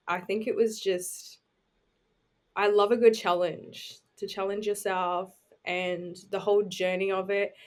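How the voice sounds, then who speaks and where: A woman, chatting informally as a podcast guest and telling her own story. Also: on the low side. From New Zealand, raised on Australia's Gold Coast. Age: 20s. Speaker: podcast guest, bodybuilding competitor. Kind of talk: casual chat, personal storytelling.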